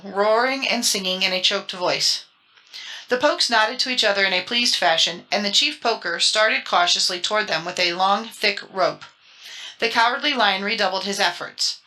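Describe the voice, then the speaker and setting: A woman's voice: mid-range voice, pushed and bright, low-quality recording. A woman reading a children's storybook as a dramatic audiobook narrator.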